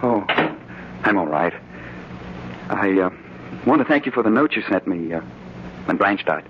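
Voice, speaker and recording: Melodramatic tormented killer, old noisy radio. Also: male voice, deep voice, expressive, dull audio. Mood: hesitant, awkward. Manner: melodramatic, halting, intense. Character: obsessed, tormented murderous man, jealous and desperate. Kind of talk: 1940s radio horror acting. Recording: noisy, band-limited old radio recording.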